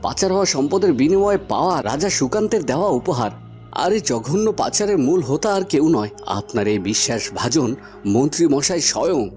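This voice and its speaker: A man's voice: a little high, big pitch swings, fairly quick, a little mellow, faint music behind. A man voicing a brave young village lad at a royal court, speaking solemnly and formally in a fairy-tale cartoon. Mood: accusing, firm.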